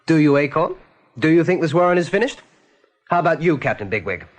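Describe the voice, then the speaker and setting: An actor playing an insistent young rabbit in a radio play, respectful but pressing. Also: male voice, fairly high pitch, big pitch swings, brisk.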